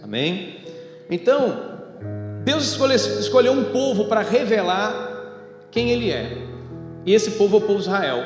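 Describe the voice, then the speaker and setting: A man's voice: sits high, very animated, normal speed, pauses often. A Brazilian man preaching an evangelical sermon as a pastor, didactic and speaking directly to his congregation with rhetorical questions. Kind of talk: evangelical church sermon with storytelling and Bible reading. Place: church hall, preaching over a sound system. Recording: echoey hall with long reverb, music behind.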